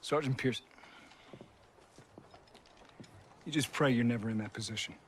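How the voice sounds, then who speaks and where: A man's voice: mid-range voice, racing, slight background noise, close to an everyday voice. An actor playing a firm, earnest army lieutenant who is protective of his men, in a war game cutscene. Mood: grave, quiet. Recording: music under the voice.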